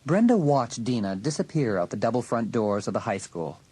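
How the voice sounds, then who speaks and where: A man's voice: mid-range voice, very animated, brisk, mellow, a little hiss or hum. A man reading scripted narration for a dramatised audiobook.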